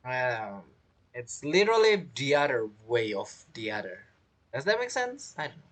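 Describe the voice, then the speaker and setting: A woman giving casual commentary as she plays a game, halting and thinking aloud as she goes. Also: a bass voice, big pitch swings. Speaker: game streamer.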